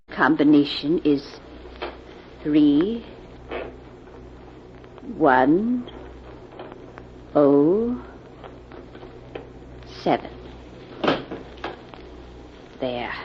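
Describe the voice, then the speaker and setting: An actress playing a wealthy older widowed aunt, genteel and emphatic, in a 1940s radio drama. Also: female voice, sits low. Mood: uneasy. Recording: muffled old radio recording with hiss.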